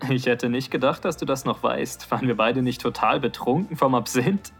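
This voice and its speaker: A man playing a playful, flirtatious best friend in an erotic audio drama, with a husky voice. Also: medium pitch, moderate pace, faint music behind. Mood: playful, teasing.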